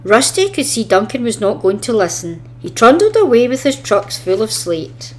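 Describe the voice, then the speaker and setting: A woman's voice: fairly high pitch, very animated, normal speed. A woman narrating a children's story expressively, reading from a script as a storybook reader.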